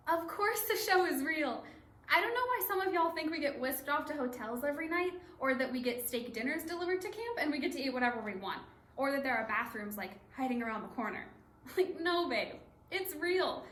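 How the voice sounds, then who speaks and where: A woman's voice: sits high, lively intonation, a little fast, fairly bright tone. A woman performing a rehearsed, conversational monologue in a readers theatre piece. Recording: roomy stage recording with background hiss.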